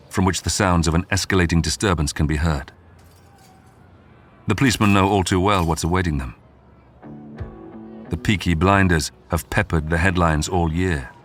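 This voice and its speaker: Deep-voiced male documentary narrator. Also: a bit bright. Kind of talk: scripted dramatic true-crime narration.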